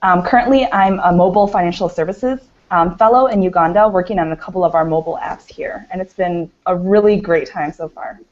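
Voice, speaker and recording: A woman from Michigan introducing herself as a fellow on a video call, relaxed and friendly. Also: fairly low pitch, fairly quick, hardly any pauses. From Michigan, USA. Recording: dull lo-fi call audio.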